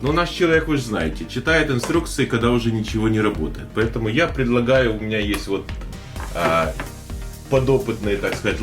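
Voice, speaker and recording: Man, slightly hoarse, low-pitched, chatty unboxing host, hesitant fillers, faint music behind. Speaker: tech video host. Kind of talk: casual unboxing narration.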